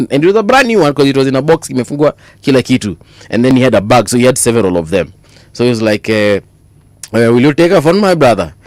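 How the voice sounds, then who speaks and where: A Kenyan man co-hosting a morning radio show, telling stories in an easygoing, chatty way. His pitch swings up and down a lot as he gets into the story. The studio sound is close and dry. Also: fast talker, no echo. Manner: animated storytelling, mixes Swahili into English, laughs often. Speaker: radio co-host. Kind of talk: casual on-air banter. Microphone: studio microphone.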